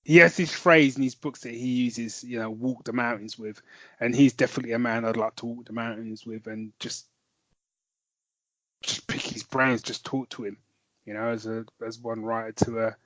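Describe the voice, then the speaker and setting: A man's voice: medium pitch, fairly even intonation, normal speed, fairly bright tone, no echo, dull audio. A man co-hosting a podcast in casual chat, rambling and correcting himself, laughing easily. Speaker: podcast co-host and novelist.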